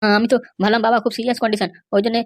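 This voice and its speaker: A woman's voice: fairly high pitch, level tone, rapid-fire, darkish tone, dry recording, close to an everyday voice. A woman voicing a dutiful, bewildered married young son in a comedy cartoon, earnest and questioning.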